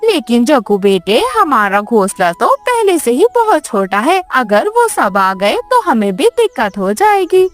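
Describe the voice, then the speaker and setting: A woman's voice: fairly high pitch, sing-song, measured pace, hardly any pauses, soft background music. A woman voicing a kind, fussy, reassuring mother crow in a children's cartoon story. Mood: hesitant, worried. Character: kind, caring mother crow, adult female.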